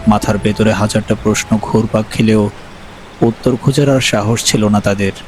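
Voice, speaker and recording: A man narrating a horror story in a grave, measured way, over music. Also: on the low side, fairly even intonation, a little mellow, poor audio.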